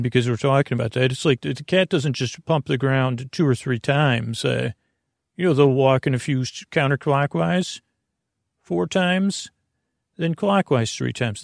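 A podcast host talks in low, creaky, lulling tones, drifting through pointless tangents and halting restarts. His voice sits at an ordinary middle pitch with plenty of vocal fry. The recording is dry and close, with no room sound. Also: dry recording. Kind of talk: rambling sleepy-time podcast talk.

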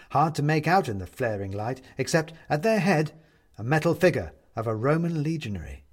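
A man narrating an audiobook in a measured, lyrical storytelling manner. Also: medium pitch. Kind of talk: scripted narration.

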